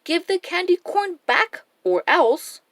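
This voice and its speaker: A woman voicing a plucky, playful young schoolboy in a storybook, animated and exclaiming. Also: very high voice, slow pace, no echo, voice pushed up, exaggerated voice. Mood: bold.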